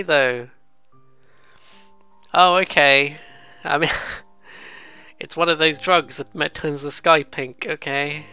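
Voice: medium pitch, pushed and bright, clean tone, dry recording. A British let's player giving casual, rambling, amused commentary on a visual novel, with some swearing. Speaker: let's play host.

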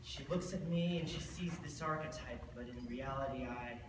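A young man playing a bitter, drunken, brooding self-styled monster in a theatrical monologue, speaking deliberately with dramatic pauses. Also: mid-range voice, natural voice. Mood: brooding, wry. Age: teen or young adult. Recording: distant, noisy, very echoey school stage.

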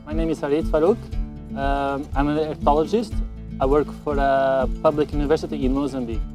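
A male ethologist speaking in a measured way in a documentary interview. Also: fairly high pitch, fairly even intonation, over music.

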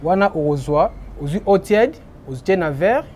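A man from Boma in Congo, a traditional healer, explaining his remedies in an interview, repeating phrases and trailing off low. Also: animated, normal speed, a little mellow, slight background noise. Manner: repetitive, emphatic, trailing off low.

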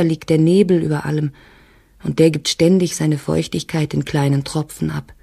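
Casting: melancholy, isolated letter writer for radio play, creaky and mellow, hushed endings. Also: female voice, sits low, heavily acted. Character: lonely, melancholy outsider confiding in a letter. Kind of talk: dramatic letter reading in an audio drama.

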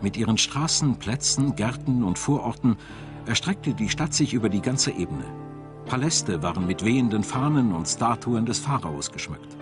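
A man's voice: on the low side, lively intonation, moderate pace. A man reading scripted narration for a documentary as a studio voice-over. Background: music.

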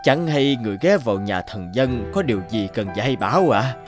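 Man voicing a gentle old father, expressive delivery. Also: medium pitch, brisk, over music, close to an everyday voice. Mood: humble, concerned. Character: gentle old bamboo cutter, loving adoptive father. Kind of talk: Vietnamese children's cartoon dubbing.

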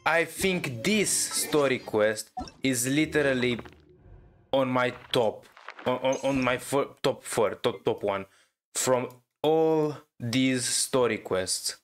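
A man's voice: medium pitch, lively intonation. A man giving casual, enthusiastic reaction commentary over a video game, repeating himself and drawing out his words. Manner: drawn-out, repetitive, enthusiastic. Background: faint game music.

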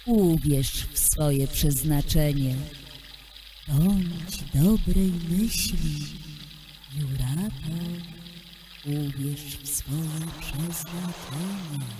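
A woman voicing a gentle blue fish, a soothing and loyal friend in a fairy-tale audio drama, with drawn-out words. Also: deep voice, a little hiss or hum. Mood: soothing, reassuring.

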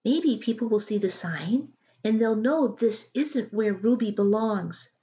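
A woman's voice: fairly high pitch, animated, unhurried, mellow, pitched above the performer's own voice. A woman voicing an earnest, insistent young girl pleading with her dad in a storybook.

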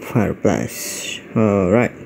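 A man's voice: fairly low pitch, sing-song, takes it slowly, vocal fry, a little mellow, a little hiss or hum. A man with an Indonesian accent giving playful, exclamatory, casual commentary as he plays a game. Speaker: gaming video creator.

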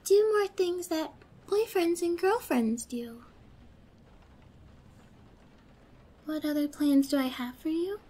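A woman playing a young, obsessed, possessive girlfriend in an ASMR roleplay, sweet yet menacing, with a soft girlish voice and a coy, drawn-out delivery. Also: high voice. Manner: slow, coy, drawn-out, slipping into whispers.

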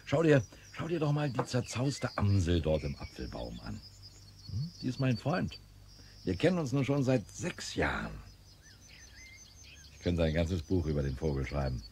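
A man's voice: low-pitched, sing-song, normal speed, a little mellow, slight background noise. A man playing an easygoing, heavyset idler, leisurely and ironic, in a German radio play from around 1970. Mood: contented. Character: easygoing, teasing, heavyset man who loves idleness.

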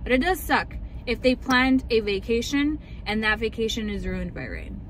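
A woman's voice: a little high, very animated, normal speed, a bit bright, a little hiss or hum. A young woman vlogger chatting and ranting casually in a car, full of likes and ums. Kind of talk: casual chat.

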